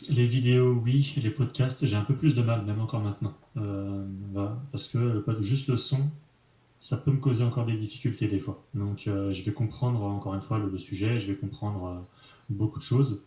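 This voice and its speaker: Low, mellow-voiced man speaking frankly. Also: steady tone, normal speed, roomy sound. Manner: frank and colloquial, with many fillers and slang. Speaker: entrepreneur, interview guest. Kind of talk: casual conversational interview.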